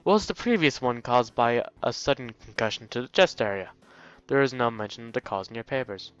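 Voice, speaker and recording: A man's voice: mid-range voice, lively intonation, brisk, fairly bright tone, clean tone, lo-fi sound, faint music behind, natural voice. A man voicing a friendly, chatty male school nurse who gives advice in a visual novel. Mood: advising, serious. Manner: friendly, advising. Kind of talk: visual novel dialogue read aloud.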